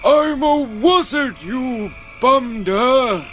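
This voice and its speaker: A man's voice: very high voice, lively intonation, drawn-out words, fairly bright tone, pitched above the performer's own voice, exaggerated voice. A man playing an eccentric, rude wizard who guides adventurers in an amateur comic radio play. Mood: indignant. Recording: music bed behind the voice.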